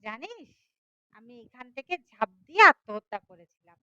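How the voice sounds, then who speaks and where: A woman's voice: high-pitched, big pitch swings, moderate pace, pushed and bright, smooth, dry recording, lo-fi sound, exaggerated voice. A woman voicing the eerie ghost of a veiled wife in a spooky story, confiding in a matter-of-fact way.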